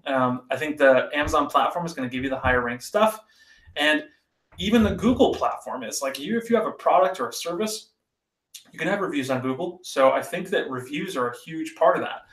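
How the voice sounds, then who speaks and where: A man's voice: fairly high pitch, fairly quick, a bit bright. Rambling man in an echoey room. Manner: rambling and conversational, many fillers. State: slightly raspy voice. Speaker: podcast host. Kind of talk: casual solo commentary.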